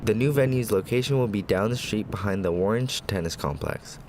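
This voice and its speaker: A young male student reporter reads his news script in a measured, even way. His voice sits a little low, and faint noise hums under it. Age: young adult.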